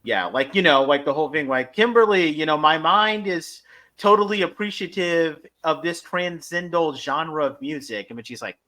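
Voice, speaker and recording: A man co-hosting a casual fan review podcast, talking in a rambling, halting and enthusiastic way. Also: fairly high pitch, animated, pushed and bright. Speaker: podcast co-host.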